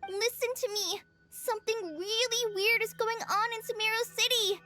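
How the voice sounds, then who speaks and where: Very high-voiced anxious young girl. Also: steady tone, normal speed, music in the background. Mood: distressed. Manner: hesitant, distressed. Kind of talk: dramatic video game voice acting.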